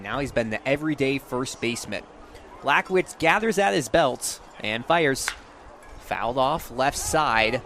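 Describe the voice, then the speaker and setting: A man's voice: medium pitch, noisy background. A young man calling a game live as a sports play-by-play announcer. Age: young adult.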